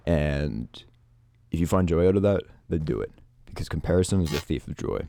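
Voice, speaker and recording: A teenage guy from Canada, sick and stuffed up with a raspy voice, talking through his uncertain future in a hesitant, reflective vlog with many restarts. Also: extremely low pitch, warm tone, a little hiss or hum. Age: teens. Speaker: YouTuber.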